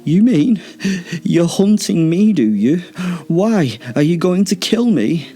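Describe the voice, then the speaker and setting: A man's voice: fairly low pitch, sing-song, fairly quick, darkish tone, faint music behind. A man voicing a cocky, flippant male werewolf in a story, sarcastic and full of exaggerated mock awe. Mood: mock fear. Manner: sarcastic, mock-awed, sweary.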